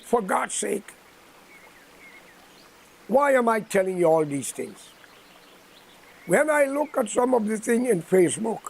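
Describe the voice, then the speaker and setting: A man's voice: high voice, creaky, a little mellow, slight background noise. An older man with a Guyanese accent giving an impromptu political address in a preachy, admonishing, emphatic manner. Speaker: political commentator.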